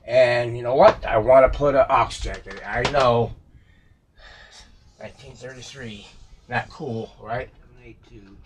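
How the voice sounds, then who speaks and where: A man giving casual, unscripted commentary as he works on a vintage radio, musing aloud in a halting way to himself and his viewers. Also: medium pitch, steady tone, a little hiss or hum. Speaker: vintage radio restorer showing a repair.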